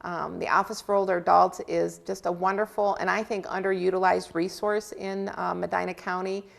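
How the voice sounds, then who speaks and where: A woman's voice: on the low side, level tone, moderate pace, creaky. A woman with a big voice, chatting upbeat as an interview guest from a county office for older adults. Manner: chatty, upbeat, laughs often. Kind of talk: casual interview talk.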